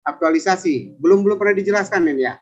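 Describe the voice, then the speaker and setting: A man's voice: high voice, animated, fast, slight background noise. Chatty older man on a lo-fi video call. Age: 50s. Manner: chatty, rambling self-introduction. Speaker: civil service trainer. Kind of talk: online coaching session.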